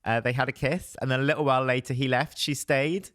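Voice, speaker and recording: A hoarse young man, a showbiz reporter telling festival stories in a chatty way and laughing often. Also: mid-range voice, steady tone, fast talker, fairly bright tone, no echo, studio quality. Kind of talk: casual podcast chat.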